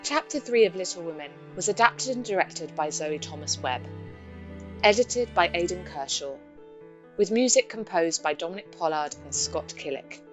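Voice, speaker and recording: Female announcer, lowish pitch, scripted credits read, music behind. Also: animated, normal speed.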